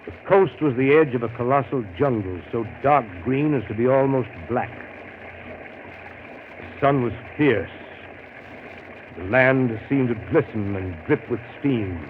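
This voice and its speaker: Man acting brooding seafaring storyteller, measured literary delivery, 1930s radio drama, narrow tinny band, light hiss. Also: medium pitch, natural voice.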